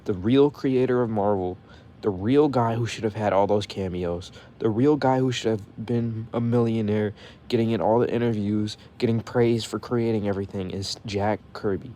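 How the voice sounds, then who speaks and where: A man's voice: fairly low pitch, normal speed, mellow, a little hiss or hum. A young man ranting casually to his viewers, profane and heated, saying bro constantly and stuttering when he gets mad. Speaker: vlogger.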